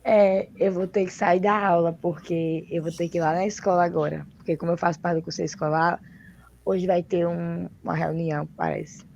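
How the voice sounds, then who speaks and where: A woman's voice: fairly low pitch, little pitch movement, vocal fry, a little mellow, slight background noise. A young woman student, speaking informally to her teacher over a video call. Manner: quick, informal, addresses teacher directly. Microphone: video call mic. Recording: dull lo-fi video call audio.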